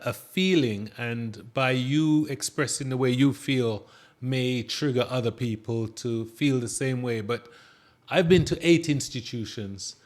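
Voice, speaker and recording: Male host, mixed accent with a Jamaican lean, mid-range pitch, chatty, restarts a lot. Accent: mixed, partly Jamaican. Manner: chatty, stammering restarts, switches into patois. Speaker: podcast host. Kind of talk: casual interview.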